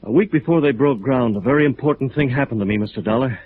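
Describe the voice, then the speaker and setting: A man acting a beaten, dazed American architect in an old radio play. He speaks haltingly at a middling pitch, with weary, bitter irony. The sound is narrow and tinny, like a vintage broadcast. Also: natural voice. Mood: weary, ironic. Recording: muffled narrow-band vintage radio recording.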